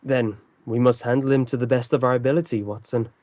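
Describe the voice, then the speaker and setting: An actor playing an incisive, stern detective in an old-time radio drama, speaking with formal, theatrical authority. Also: male voice, mid-range voice, fairly even intonation, brisk, darkish tone, no room sound, close to an everyday voice. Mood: assured, resolute. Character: commanding, incisive male detective, calm and stern.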